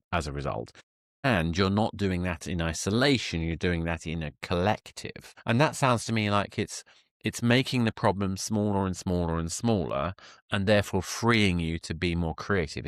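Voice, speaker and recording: A man's voice: low-pitched, no echo, clean studio sound. A man hosting a conversational interview podcast, talking discursively as he reflects and sums up.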